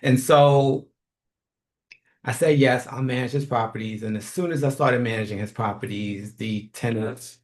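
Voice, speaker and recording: A man from New York, originally from Haiti, hosting a casual, unscripted online show, blunt and rough around the edges, often checking in with "right?". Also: mid-range voice, normal speed. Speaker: host, property manager and investor. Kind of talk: casual unscripted YouTube monologue.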